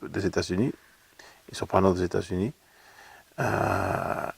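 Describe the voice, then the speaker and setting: Middle-aged Argentine-accented man, husky, heard from a distant mic; reflective interview guest who circles back on his words. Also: low-pitched, measured pace. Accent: Argentine Spanish. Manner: thoughtful, repeats words while searching for them. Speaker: opera singer guest. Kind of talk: relaxed interview talk.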